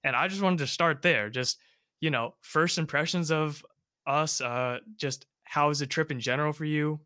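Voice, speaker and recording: A man's voice: a little high, normal speed, no echo, clean studio sound. A young man hosting a casual chat, talking loosely with lots of filler words. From United States. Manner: relaxed, rambling, many fillers. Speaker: host.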